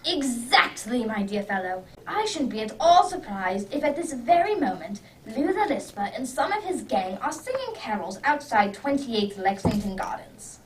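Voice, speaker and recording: A woman playing a brisk, impatient, clever male detective with theatrical emphasis in an amateur radio drama. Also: on the high side, sing-song. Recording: distant microphone, background noise.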